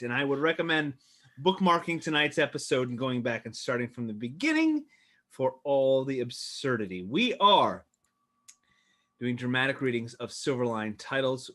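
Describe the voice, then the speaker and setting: A man hosts a casual livestream over a video call, upbeat and chatty. His voice sits at an ordinary middle pitch. He laughs often and trails off into filler words as he thanks people. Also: big pitch swings, moderate pace, a bit bright. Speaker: host.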